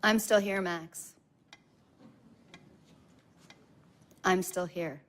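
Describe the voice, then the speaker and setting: An actress playing an intense, wounded, clinging woman who speaks with deliberate insistence in a contemporary audio drama. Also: lowish voice, fairly even intonation, fairly bright tone. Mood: quiet, insistent.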